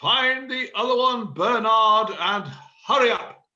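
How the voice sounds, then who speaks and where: A man's voice: high-pitched, slow pace, sharp tone, highs cut off, full character voice. A man playing an exasperated, theatrical amateur stage director in a read-through of a comedy play. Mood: panicked, exasperated.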